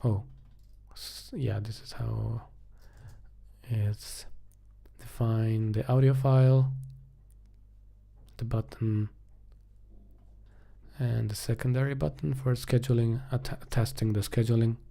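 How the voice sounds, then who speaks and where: Mellow-voiced man thinking aloud while coding. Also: medium pitch, steady tone, takes it slowly, creaky, pauses often. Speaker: live-coding streamer.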